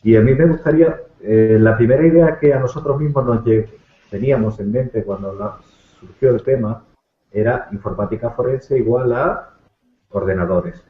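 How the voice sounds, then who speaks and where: Hesitant host on tinny echoey line. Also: male voice, mid-range voice, moderate pace. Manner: hesitant, restarts phrases. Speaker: host, moderator. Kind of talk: moderating an online panel. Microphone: video call.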